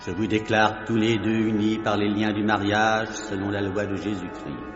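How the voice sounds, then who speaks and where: A man acting a fussy, solemn priest in a French radio drama. His fairly low voice declaims insistently in a long, hall-like reverb, with music clearly behind him. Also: flat delivery, moderate pace, natural voice. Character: brisk, fussy priest officiating a hurried wedding. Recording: dull, very echoey church-like hall, music behind.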